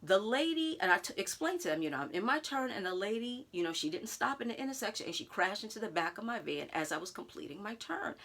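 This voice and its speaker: A woman vlogger telling a personal story straight to her viewers in a casual, animated way. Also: mid-range voice, fast talker, hardly any pauses. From United States. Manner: animated, talks straight to viewers with "y'all", acts out others' lines.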